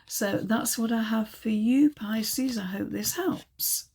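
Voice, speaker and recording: A woman reading tarot cards in a casual, chatty way, hesitant and full of ums, her voice still croaky from a chest infection. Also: a little high, takes it slowly, a little mellow. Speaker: tarot reader.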